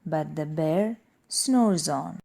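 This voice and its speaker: A woman narrates a children's storybook very slowly. Her voice sits slightly low, and she reads with deliberate, expressive rhythm. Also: gravelly. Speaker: storybook reader. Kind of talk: children's read-aloud narration.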